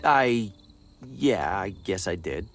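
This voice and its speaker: An ordinary, slightly awkward adventure game hero. He sounds wary and wry, hesitating often, with animated pitch movement. Music runs softly underneath. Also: slow, full character voice. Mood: skeptical. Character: wary, awkward everyman salesman hero, dryly skeptical. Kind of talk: video game voice acting.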